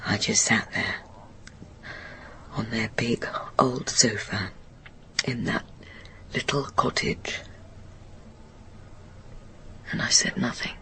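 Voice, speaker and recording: A woman playing a guilt-ridden, stubborn grown daughter in a radio drama, speaking in a halting, anguished, bitter confession that often drops to a murmur. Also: extremely low pitch, steady tone, fairly bright tone, in a whisper, heavily acted, poor audio. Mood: quiet, desolate.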